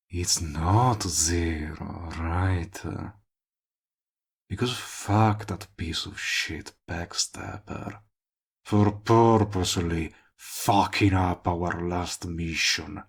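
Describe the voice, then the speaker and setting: A low, slow-talking villain played by a male voice actor courts the listener in a romantic audio drama. He is smug and possessive, with a creaky, gravelly edge. His lines are wry and smooth-talking, with words drawn out for emphasis. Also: heavily acted. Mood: jealous, suspicious, then bitter, irritated. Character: smug lovestruck villain, possessive, persistent, wryly comic man. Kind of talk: romantic comedy audio roleplay addressed to listener.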